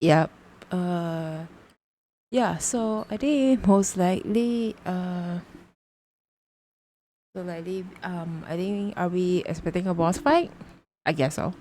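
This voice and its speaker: A woman live-streaming a game with casual commentary, thinking aloud in a halting way. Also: on the low side, warm tone, low-quality recording.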